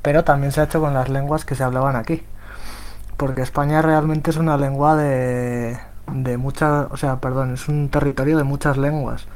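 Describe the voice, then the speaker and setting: Man from Spain, flat delivery, hesitant panel speaker on a call. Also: mid-range voice, normal speed. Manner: flat, hesitant, many restarts. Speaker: volunteer software translator. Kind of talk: remote panel talk.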